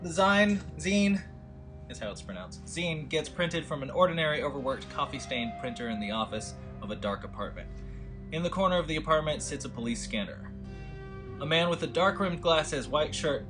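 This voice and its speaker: A man's voice: on the high side, lively intonation, normal speed. A man hosting a remote table read, chatting loosely with lots of fillers and then reading stage directions over a video call. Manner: rambling, filler-heavy, offhand. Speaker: writer and host of the table read. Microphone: video call with desktop audio. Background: score music.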